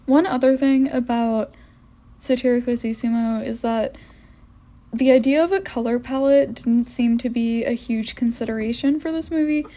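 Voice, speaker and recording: A woman's voice: on the high side, normal speed, mellow. A young woman giving a casual, wry voice-over review of films for her art vlog. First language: English. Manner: wry, opinionated.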